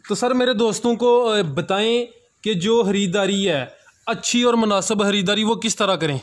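A man's voice: high-pitched, normal speed. A man asks questions as an interviewer, politely and a little hesitantly. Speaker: interviewer, channel host. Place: cattle farm.

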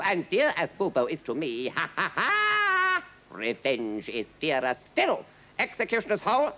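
A fierce, high-pitched storybook tyrant king. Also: pushed and bright, full character voice. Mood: livid, vengeful. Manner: imperious, exclamatory, archaic wording. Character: fierce, vengeful, pompous tyrant king, roaring orders. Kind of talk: storybook character acting.

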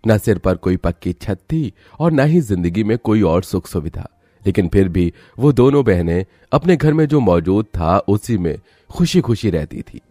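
A man's voice: lowish voice, sing-song, measured pace, dark tone, dry recording, studio quality. A man narrating a scripted moral story as a storyteller.

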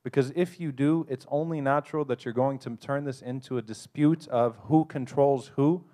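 A man speaking on a panel at a convention, giving earnest, brisk advice to the audience. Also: mid-range voice, a little mellow. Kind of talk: live advice talk to an audience. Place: convention hall.

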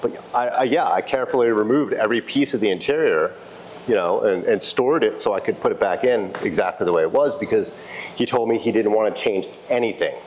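A man speaking as a restoration technician in a casual interview, explaining in a halting, conversational way and repeating phrases. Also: lowish voice, poor audio. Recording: clear steady background noise.

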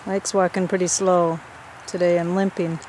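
A woman with a somewhat low voice narrates a casual video diary while out hiking, matter-of-fact and plain. She is recorded outdoors on a camera mic, with steady road traffic loud behind her. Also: normal speed, poor audio. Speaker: long-distance hiker filming a trip video. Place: outdoors beside a busy highway.

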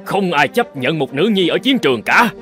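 A man acting as a stubborn middle-aged village patriarch in an animated drama. His fairly high voice swings widely in pitch as he commands and scolds, with music underneath. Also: normal speed. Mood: stern. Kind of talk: animated cartoon drama dialogue. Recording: music behind the voice.

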